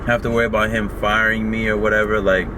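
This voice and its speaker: A man's voice: on the low side, level tone, fast talker, noisy background. A man talking in a casual, rambling way about his work while eating, filmed in a car. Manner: laid-back, rambling, heavy on "like". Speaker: vlogger and rideshare driver. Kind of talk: casual mukbang chat.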